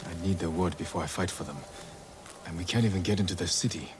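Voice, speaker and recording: Actor as a deep, stoic samurai lord. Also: male voice, background noise, lightly acted. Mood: firm, resolute. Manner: terse, measured, commanding. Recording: loud cinematic music behind. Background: game score music.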